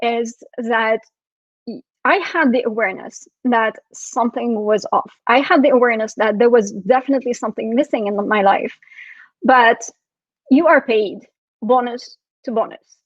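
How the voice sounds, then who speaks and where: A woman from France and Syria giving a reflective talk for an online expo, soft-spoken with frequent fillers. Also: on the high side, moderate pace, no room sound, lo-fi sound. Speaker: expo speaker, spiritual coach.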